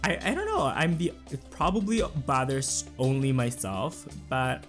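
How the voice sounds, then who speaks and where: A man hosting a casual let's play, talking through his choices with a slightly raspy voice and lots of fillers and restarts. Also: mid-range voice, sing-song, unhurried, fairly bright tone, soft background music. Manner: chatty, thinks aloud with fillers and restarts. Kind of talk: casual gameplay commentary.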